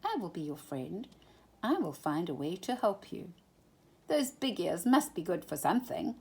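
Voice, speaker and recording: A woman's voice: fairly low pitch, sing-song, moderate pace, gravelly, a little mellow, breathy edge, heavily acted. A woman voicing a clever, kind little male circus mouse who talks in an eager, encouraging way.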